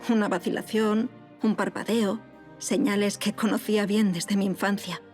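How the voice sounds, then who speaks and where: An actress playing a resolute, betrayed noblewoman, narrating her story in a measured, solemn audio drama style. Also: medium pitch, steady tone, a little mellow, close to an everyday voice. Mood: grave reflection. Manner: measured, solemn, literary delivery. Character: resolute historical noblewoman, betrayed, claiming her own fate. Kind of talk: scripted first-person audio drama narration. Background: music.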